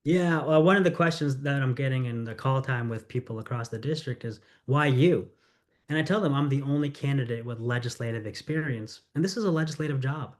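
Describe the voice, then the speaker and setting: A middle-aged man from the United States, a congressional candidate answering interview questions in an earnest, storytelling way. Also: mid-range voice. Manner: earnest, storytelling, quick asides.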